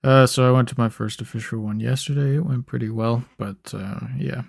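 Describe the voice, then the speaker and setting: A young man giving laid-back gameplay commentary in a flat, monotone ramble, his voice a bit hoarse and rough. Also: fairly quick, no room sound, studio quality. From Canada. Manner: monotone, low-key rambling. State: slightly hoarse. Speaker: gaming YouTuber. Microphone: desk microphone.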